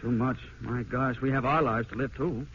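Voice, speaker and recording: An actor playing a discontented young husband, blunt and dismissive, in a vintage radio drama. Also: male voice, mid-range voice, fairly even intonation, moderate pace, fairly bright tone, close to an everyday voice. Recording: muffled old radio broadcast, faint noise.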